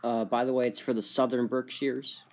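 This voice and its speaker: A man's voice: mid-range voice, little pitch movement, normal speed, background noise. A man giving casual, off-the-cuff vlog commentary in a rambling, conversational way. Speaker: vlogger.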